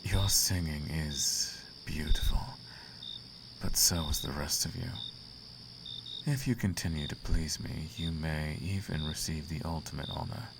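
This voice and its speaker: A man playing a seductive, imperious demon prince in a flirtatious roleplay ASMR, speaking in a courtly, teasing manner with a creaky low voice. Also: steady tone, measured pace, noisy background, exaggerated voice. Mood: seductive, indulgent.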